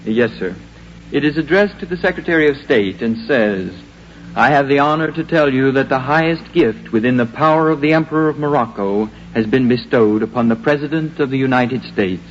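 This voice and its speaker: A man's voice: mid-range voice, brisk, natural voice. An actor playing a patient, deferential male aide to a consul in 1950s radio drama, formal and dutiful. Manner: formal, dutiful, clipped replies. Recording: muffled, noisy 1950s radio recording.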